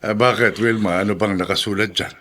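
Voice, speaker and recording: A man's voice: lowish voice, fairly even intonation, brisk, sharp tone, a little hiss or hum. A Filipino man acting in a radio drama as a skeptical, brooding older father. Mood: dismissive.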